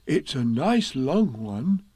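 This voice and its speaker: A man voicing a gentle, simple-minded male bear who talks earnestly, in a storybook read-aloud. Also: medium pitch, sing-song, takes it slowly, slightly rough, no room sound, pitched above the performer's own voice, full character voice. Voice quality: earnest, soft-spoken.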